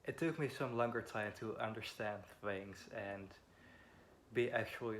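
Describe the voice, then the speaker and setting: Young man, twenties, Dutch accent, slightly low voice, nervous and halting, faint wind and traffic behind. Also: fairly bright tone. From Netherlands. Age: 20s. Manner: hesitant and apologetic, frequent ums. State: a bit nervous. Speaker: vlogger. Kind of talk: personal storytelling to camera. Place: home beside a busy road. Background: faint wind and passing traffic.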